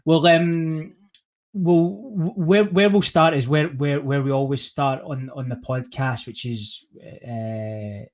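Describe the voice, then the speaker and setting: A man's voice: fairly high pitch, fairly even intonation, slow pace, a little mellow. A man hosting a podcast interview in a chatty way, often restarting and repeating words. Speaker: podcast host. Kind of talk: casual interview.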